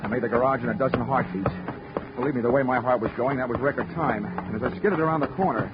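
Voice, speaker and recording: A man's voice: medium pitch, fast talker, lightly acted. A man playing a wisecracking hard-boiled investigator who narrates in first person, in a 1940s radio drama on a dull old broadcast recording with music. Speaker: narrator and lead detective.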